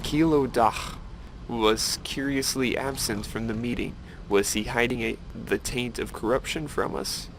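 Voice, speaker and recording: A man playing a solemn elf who gravely recounts old events in a fantasy game cutscene. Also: mid-range voice, fairly even intonation, measured pace, a bit bright, slight background noise, low-quality recording. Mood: grave, suspicious. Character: solemn elven lore-keeper recalling a council's schism.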